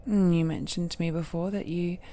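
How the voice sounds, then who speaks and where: A woman playing an ancient godlike villainess in an intimate audio drama, seductive, possessive and falsely tender, with coy endearments and a breathy voice that often drops to a whisper. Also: lowish voice, fairly even intonation, mellow, natural voice. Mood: teasing, amused. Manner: slow, coy, drawn-out endearments with teasing murmurs.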